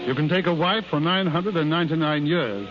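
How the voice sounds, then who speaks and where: A male actor plays a blunt realist in a 1930s radio drama, cautioning in a firm, matter-of-fact way. His voice sits a little high, in a muffled old recording with music behind it. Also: fairly quick, lightly acted. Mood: stern, cautioning. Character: concerned, cautioning American man, blunt realist.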